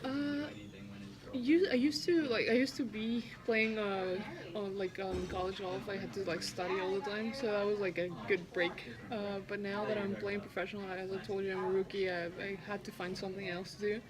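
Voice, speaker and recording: A woman's voice: medium pitch, fairly even intonation, moderate pace, crowd noise, low-quality recording. A young woman from Ecuador, a professional golfer, answering interview questions casually with lots of fillers and hesitations. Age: 20s. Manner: hesitant, many fillers, laughs often.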